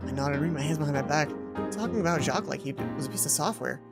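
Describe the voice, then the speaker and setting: Fast-talking low-pitched woman narrator. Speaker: let's play host. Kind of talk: reading a visual novel story aloud. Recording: music behind the voice.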